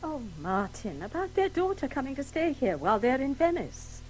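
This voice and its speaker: A woman's voice: high voice, big pitch swings, normal speed, a little hiss or hum. An actress playing a matter-of-fact, controlled middle-aged English wife who corrects her husband in a radio drama. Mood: polite, terse. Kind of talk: 1980s radio play acting.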